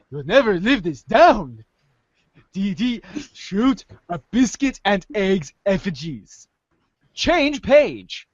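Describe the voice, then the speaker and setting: A playful male podcast host reads a comic aloud in a high voice that swings widely in pitch. He takes his time and trips over the odd word. The audio is dry and a little dull. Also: no room sound. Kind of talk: informal group read-aloud of a comic.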